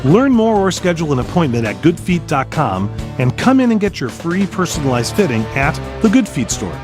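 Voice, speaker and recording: Animated, higher-pitched male ad announcer. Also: fairly quick, music in the background. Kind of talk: scripted testimonial ad narration.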